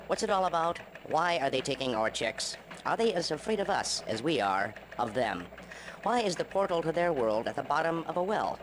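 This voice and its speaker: An actor playing a self-important chicken news anchor in a video game, with polished broadcast announcing. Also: male voice, fairly high pitch, big pitch swings, moderate pace, fairly bright tone, slight background noise. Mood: mock-serious. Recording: music behind the voice.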